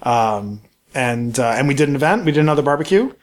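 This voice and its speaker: A man from Baltimore with a Baltimore accent, co-hosting a podcast in quick, rambling casual chat full of restarts. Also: mid-range voice, lively intonation. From Baltimore area. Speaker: podcast co-host.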